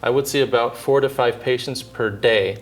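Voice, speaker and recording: Measured American man with many pauses. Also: medium pitch, faint music behind. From Southern California, United States. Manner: measured, thoughtful, with frequent filler pauses. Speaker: dentist and orthodontist guest. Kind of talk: interview answers.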